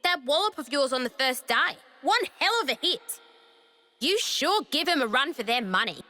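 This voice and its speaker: A woman's voice: high-pitched, sing-song, moderate pace, bright, forceful tone, heavily acted. An actress playing a brash, sarcastic young woman rebel leader in a video game, with a blunt, cocky way of talking. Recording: game music behind the voice.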